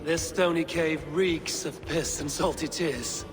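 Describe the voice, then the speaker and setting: Blunt Viking warrior, highish voice. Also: male voice, fairly even intonation, takes it slowly, over music. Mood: blunt, impatient. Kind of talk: video game cutscene acting.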